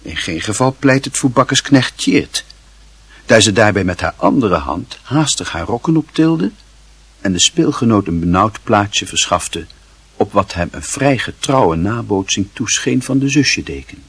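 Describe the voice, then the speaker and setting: A man with a low, husky voice tells a literary story for a radio play. He is measured and formal with a wry edge, recorded close in a dry studio. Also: lively intonation, a bit bright, no room sound. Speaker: narrator.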